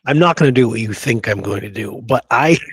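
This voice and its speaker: A man co-hosting a history show in casual discussion, talking informally and haltingly with frequent asides. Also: mid-range voice, expressive, a bit bright, breathy edge, no room sound. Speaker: co-host of a history talk show.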